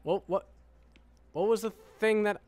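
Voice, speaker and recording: A man's voice: sits high, fairly even intonation, measured pace, a little mellow. A man giving casual, rambling let's-play commentary, sounding tired with a worn voice, repeating phrases to himself. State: tired, voice wearing out. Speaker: gaming video creator.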